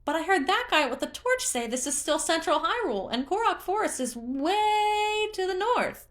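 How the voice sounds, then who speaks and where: Woman putting on a very high, childlike forest spirit. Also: big pitch swings, normal speed, a bit bright, exaggerated voice. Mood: chatty, hopeful. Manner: exclaiming, hesitant when flustered, singsong catchphrases. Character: childlike, excitable, bashful forest spirit, male.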